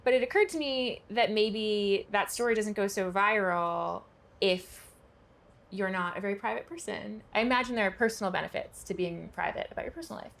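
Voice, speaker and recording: A woman's voice: medium pitch, fairly quick, creaky, fairly bright tone. A woman interviewer in a played clip, asking probing, leading questions. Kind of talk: magazine interview.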